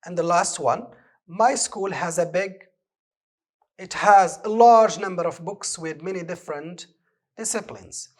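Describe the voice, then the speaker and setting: Slow, deliberate Moroccan English teacher. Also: male voice, high-pitched. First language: Moroccan Arabic. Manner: slow, deliberate, reads exercise items aloud and explains answers. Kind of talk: televised distance-learning lesson.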